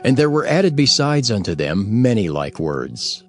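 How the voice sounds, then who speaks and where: Casting: man to narrate dramatized scripture, formal and expressive, medium pitch, clear music bed. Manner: formal, measured storytelling. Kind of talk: dramatized King James Bible narration.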